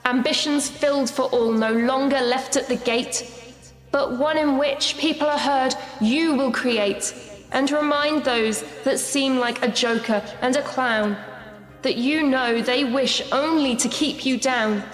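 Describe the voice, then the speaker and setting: Woman acting a young idealistic election candidate, earnest, radio play, higher voice, reverberant hall. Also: a little slow. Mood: rousing, impassioned. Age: young adult. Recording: echoey hall, music behind.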